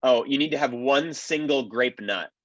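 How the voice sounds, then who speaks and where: A man's voice: medium pitch, normal speed, no room sound. Man explaining history, slightly muffled. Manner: analytical, explanatory. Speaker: panelist, old-time radio historian. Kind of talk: informal panel commentary.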